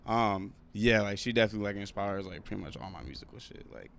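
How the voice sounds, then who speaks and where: A man's voice: on the low side, fast, creaky, a bit bright. A man in his twenties, born in South Africa and raised in London, chatting casually as a rapper guest, laid-back and full of fillers. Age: 20s. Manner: laid-back, lots of "like" and "you know what I'm saying". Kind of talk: casual interview chat.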